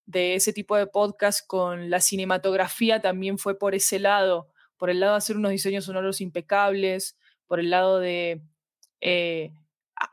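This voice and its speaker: A woman's voice: medium pitch, no room sound. Latin American woman, dry close sound. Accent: Latin American Spanish. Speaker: podcast guest, fiction podcast producer. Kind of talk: conversational interview about audio fiction.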